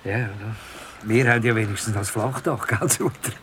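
An actor with a Swiss German accent playing a chatty, grumbling office worker in a radio drama, talking in a colloquial, animated way. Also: male voice, fairly low pitch, normal speed, noisy background, natural voice. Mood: grumbling, confiding. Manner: colloquial, animated griping with tag questions. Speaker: office worker in materials management.

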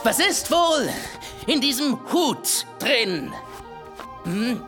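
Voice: very animated, very slow, music in the background, exaggerated voice. Eine Hörspielstimme spielt einen unheimlichen, verzweifelten Clown, der übertrieben als Zauberkünstler auftritt und kichert. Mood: fawning, eerie. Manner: exaggerated showman, giggling, shrill outbursts. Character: creepy, desperate clown magician, high cackling and volatile. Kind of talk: radio play acting.

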